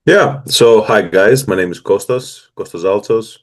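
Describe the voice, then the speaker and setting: A man's voice: fairly low pitch, measured pace. A man in his twenties from Greece with a Greek accent, an upbeat and earnest guest telling his story in a casual interview. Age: 20s. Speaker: guest athlete.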